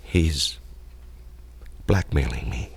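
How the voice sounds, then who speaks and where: An actor in a radio drama playing an earnest, haunted executive with a secret convict past, speaking haltingly. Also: male voice, a bass voice, loud music behind the voice, full character voice. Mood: bitter, desperate.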